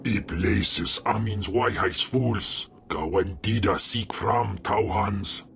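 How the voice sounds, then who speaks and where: An actor playing a menacing alien beast servant speaking an invented tongue in a video game. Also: male voice, lowish voice, slow, sharp tone, a little hiss or hum, exaggerated voice. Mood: hostile. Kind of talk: alien-language game creature voice.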